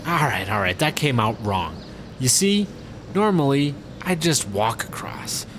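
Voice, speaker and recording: A man acts as a blustering American ex-cop, always working some scheme. His delivery is unhurried and swings up and down in pitch. It is comedic audio drama, with faint ambience behind the voice. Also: sing-song, background noise. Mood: sheepish, pleading.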